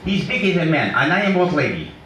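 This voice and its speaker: A man's voice: on the high side, big pitch swings, quick pace, a bit bright, far from the mic, pitched above the performer's own voice. A man voicing a stern, scolding old immigrant woman with clipped, broken-English phrasing. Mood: indignant. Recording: roomy, noisy bookstore reading from off the mic.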